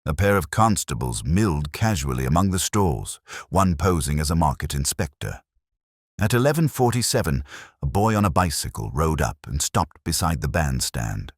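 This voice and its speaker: A man reading a mystery story as a scripted audiobook narrator, in a measured, dramatic storytelling manner. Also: a bass voice, big pitch swings, slightly rough, no room sound, studio quality. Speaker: narrator and reader.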